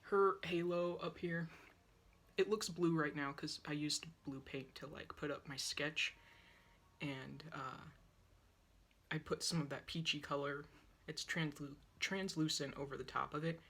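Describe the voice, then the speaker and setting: Young female art vlogger, breathy and wheezy from a sore throat, rambling self-deprecating chat at a phone close by in a small room. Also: deep voice, fairly even intonation, a little slow, a bit bright. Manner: chatty, self-deprecating, talks while painting. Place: small yellow room at home, indoors. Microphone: phone on a tripod, close-up.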